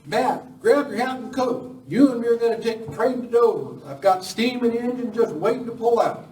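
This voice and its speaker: A man's voice: very high voice, normal speed, darkish tone. A man playing an eager, bustling, folksy train fireman in an amateur western radio play. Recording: roomy distant live recording with noise.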